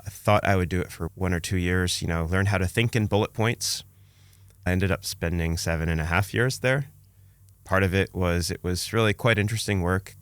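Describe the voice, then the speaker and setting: A man's voice: low voice, brisk, clean tone, no room sound. A man, telling his own story conversationally as a podcast guest. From Canada, born in Romania.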